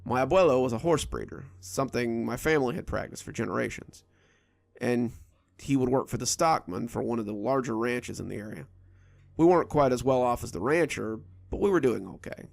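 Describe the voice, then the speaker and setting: A man reading a first-person horror story aloud in a steady, low storytelling delivery. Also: a little fast, creaky, no room sound. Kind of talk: scripted horror narration.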